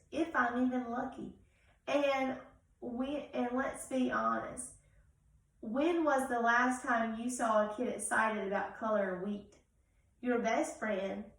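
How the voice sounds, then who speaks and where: In a very echoey room, a proud crayon played by a woman nurses its grievance. The storybook voice is high, deliberate and dejected, a little far from the mic. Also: sharp tone, heavily acted. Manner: aggrieved, deliberate. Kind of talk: storybook read-aloud.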